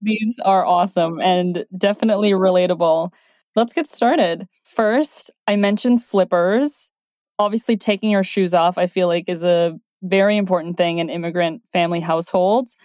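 A woman's voice: fairly low pitch, moderate pace, a little mellow, no room sound, studio quality. Woman hosting a casual podcast. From China. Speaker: podcast host. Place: home, Toronto.

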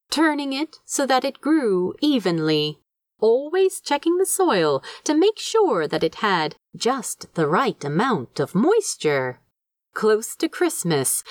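A woman reading a scripted story as narrator, in an expressive, dramatic storytelling manner. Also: medium pitch, dry recording, clean studio sound. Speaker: fan fiction audiobook narrator.

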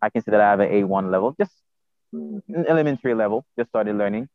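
Over a narrow, phone-like connection with no room sound, a man with a Haitian accent answers interview questions. He is a native Haitian Creole speaker with a slightly low voice. He talks hesitantly, repeating words while he searches for the next one. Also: fast talker, no room sound. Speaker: podcast guest, self-taught polyglot. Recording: tinny phone-like line.

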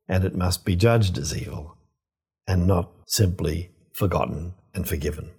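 An older man, a priest, giving a reflective scripture teaching in a measured, explanatory way with emphatic phrasing. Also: sits low, warm tone, breathy edge.

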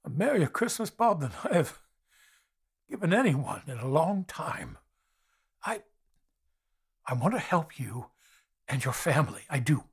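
Old reformed miser, husky, unhurried, blustering, repeats words, comic radio drama. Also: male voice, on the high side, exaggerated voice. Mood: warm, flustered. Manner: excitable, repeats words emphatically.

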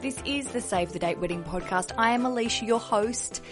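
A woman's voice: a little high, moderate pace, music in the background. An Australian woman hosting a podcast alone, chatty, jokey and candid. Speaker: podcast host. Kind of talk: solo podcast chat.

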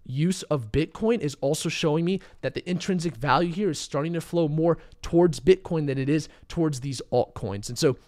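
A young man, a little hoarse and under the weather, confidently walking viewers through market charts in a casual commentary. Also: a little high, moderate pace, hardly any pauses, darkish tone.

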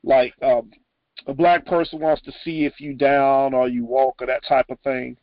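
An American man hosting a casual interview, asking questions in a halting, informal way. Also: medium pitch, level tone, no echo, narrow, tinny audio. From Texas, United States. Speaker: interviewer, host.

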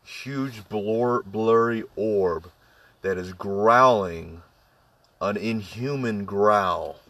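A man with a deep voice telling a spooky personal story to friends, halting with many fillers and restarts. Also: fairly bright tone, no echo, recorded from a distance. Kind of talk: casual ghost story among friends.

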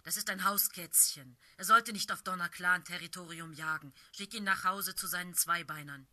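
A woman voicing a grave, insistent male warrior cat with a lowered voice. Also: moderate pace, bright, forceful tone, dry recording. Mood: disapproving. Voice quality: low, insistent.

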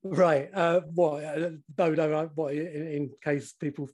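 Husky, croaky man in his forties. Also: on the high side, fairly even intonation, a little slow, a little mellow. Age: 40s. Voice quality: slightly croaky. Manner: chatty, laughs often, swears casually. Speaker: podcast co-host. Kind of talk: casual chat.

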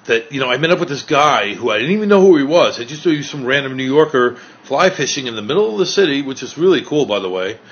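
A man's voice: medium pitch, lively intonation, fast talker, hardly any pauses, husky. A man with a New York accent, chatting loosely with strangers and recapping on video. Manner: chatty, loose, rambling with fillers. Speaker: street video creator. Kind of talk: casual street chat and vlog recap. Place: outdoors in a city park. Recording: dull lo-fi street recording.

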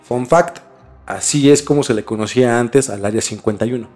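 A man's voice: mid-range voice, brisk, a little mellow. A man hosting a podcast, telling a story conversationally to a co-host and repeating phrases for emphasis. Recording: music bed under the voice.

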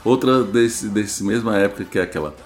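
A man's voice: fairly low pitch, brisk. A man with a Ceará accent, co-hosting a podcast and bantering in a laid-back, joking way. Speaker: podcast co-host. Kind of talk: casual chat.

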